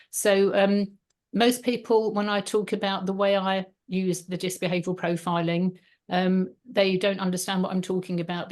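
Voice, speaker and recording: Middle-aged British woman, brisk chatty presenter, lowish even voice, video call. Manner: brisk, chatty, many ums and restarts.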